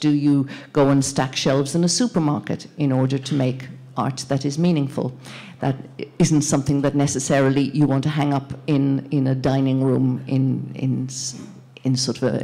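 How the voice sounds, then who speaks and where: A middle-aged woman with a low voice moderates a panel. She speaks thoughtfully and haltingly, feeling for each phrase into a handheld microphone. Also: warm tone. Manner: halting, searching for words. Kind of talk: thoughtful panel discussion speech. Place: indoor panel event in a plain gallery room.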